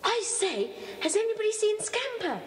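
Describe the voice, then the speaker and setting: A woman's voice: very high-pitched, very animated, normal speed. A woman playing an eager, plucky schoolboy in a children's audio drama. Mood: concerned. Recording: echoey cellar reverb, old cassette.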